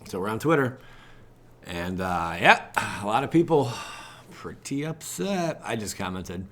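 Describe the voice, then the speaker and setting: A man's voice: lowish voice, big pitch swings, a little slow. An American man hosting a gaming channel, chatting casually and rambling informally as he reacts to what he reads, with mildly exasperated asides. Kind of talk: casual livestream chat, reacting to web pages.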